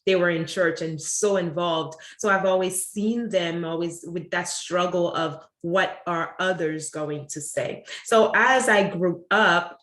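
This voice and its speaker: A woman in her forties from Haiti, a guest coach telling her personal story slowly and reflectively, now and then laughing. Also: on the low side, fairly bright tone. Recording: echoey room.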